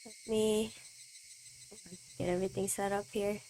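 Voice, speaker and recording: A woman's voice: medium pitch, level tone, a little slow, warm tone, slight background noise, poor audio. A woman streamer with allergy sniffles, reading a visual novel's first-person text aloud with asides of her own. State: stuffy from allergies.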